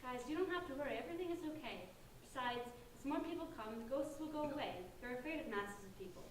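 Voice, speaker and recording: A woman's voice: high voice, expressive, fast talker. A woman acting a protective, curious sixteen-year-old older sister in a staged play reading. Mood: reassuring. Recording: echoey room, distant mic, faint noise.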